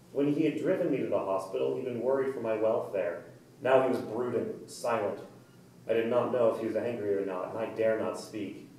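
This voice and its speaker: Heavy room echo surrounds a distant male voice with faint noise behind it. It is an actor as a haunted, earnest man in a trance in a staged play. He speaks deliberately and intensely, in a medium pitch. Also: mellow, lightly acted. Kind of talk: dramatic staged play reading. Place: indoor room, staged reading recorded from afar.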